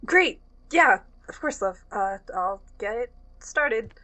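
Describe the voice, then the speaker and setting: High-voiced, sweet, hesitant young woman, acted. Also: animated, slow, sharp tone, exaggerated voice. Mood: eager, affectionate. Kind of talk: naturalistic audio drama acting.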